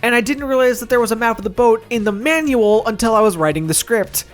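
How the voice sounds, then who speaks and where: A man's voice: very high-pitched, fast. A man narrating a scripted video game review in a wry, opinionated, casually profane manner, as the reviewer himself. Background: music.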